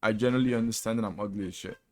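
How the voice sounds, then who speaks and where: A young man in his twenties talks straight to his viewers in a loose, rambling monologue. His voice sits a little low, and he is candid and self-deprecating. He restarts sentences and drops casual slang as he goes. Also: fairly even intonation, racing. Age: 20s. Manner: casual slang, says "bro" often. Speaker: vlogger. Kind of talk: personal reflection monologue.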